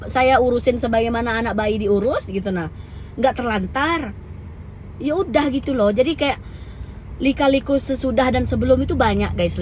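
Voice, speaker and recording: Young woman vlogger, higher voice, blunt and emphatic venting, faint traffic behind. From Lampung, Indonesia. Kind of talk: candid storytelling rant.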